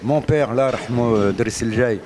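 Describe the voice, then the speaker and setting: A man in his sixties from France, a radio guest telling lively anecdotes and laughing often. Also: medium pitch, a little slow, noisy background. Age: 60s. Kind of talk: storytelling interview.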